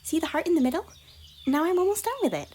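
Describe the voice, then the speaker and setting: Casting: a woman to play a gentle, chirpy girl pony for cartoon-style ASMR, affectionate, very animated pitch, often whispery. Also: fast. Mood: cheerful, tender. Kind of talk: roleplay ASMR character dialogue. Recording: noisy, birdsong and outdoor ambience behind. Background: birdsong and nature ambience.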